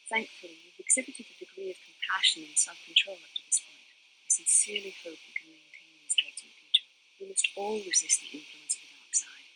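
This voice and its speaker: Proud, earnest young Jedi heroine. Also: female voice, fairly low pitch, a little fast, bright, forceful tone, slight background noise, low-quality recording. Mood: concerned, stern. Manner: formal, earnest, lecturing. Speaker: video game character. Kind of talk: dramatic video game dialogue acting.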